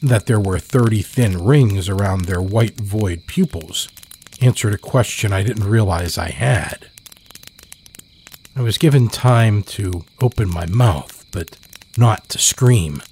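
Slow, low-voiced male horror narrator. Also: darkish tone, a little hiss or hum. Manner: deliberate, dramatic pauses. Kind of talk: dramatic scripted narration.

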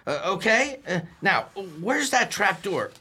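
Man doing a blustery working guy from a radio comedy, stammers a lot, bright voice all over the place. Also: high-pitched, very animated, measured pace, slightly rough, exaggerated voice. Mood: hurried, evasive. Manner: stammering, ungrammatical, flustered. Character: blustering, flustered working-class everyman trying to be a sport.

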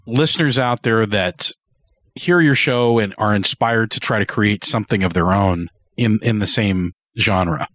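A man with a fairly low voice hosts a podcast in a reflective, conversational style. He circles back over his phrases as he talks. The sound is very dry, with no room around it. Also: measured pace, fairly bright tone, no echo. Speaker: podcast host interviewer. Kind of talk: conversational interview and host talk.

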